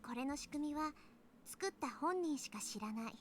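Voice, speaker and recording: A woman's voice: high-pitched, animated, fast, a little hiss or hum. A woman playing a breezy young time traveler from the future in a visual novel game. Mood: matter-of-fact. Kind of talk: Japanese visual novel voice acting.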